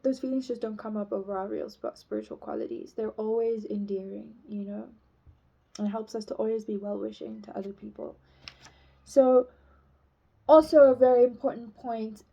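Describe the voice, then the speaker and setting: A woman's voice: fairly high pitch, vocal fry, mellow, muffled recording. A young woman giving a casual spiritual talk as a teacher, rambling with many fillers and restarts.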